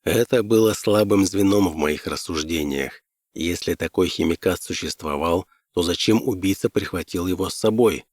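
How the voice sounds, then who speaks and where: A man's voice: sits low, normal speed, a little mellow, dry recording, studio quality. A man reading scripted first-person fiction as an audiobook narrator.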